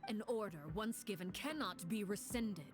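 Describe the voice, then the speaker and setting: A woman voicing a stern, commanding matriarch in an anime video game, speaking formally and with measured authority. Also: medium pitch, natural voice. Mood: cold, stern. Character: stern commanding matriarch of an orphanage of agents, called Father. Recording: game music under the voice.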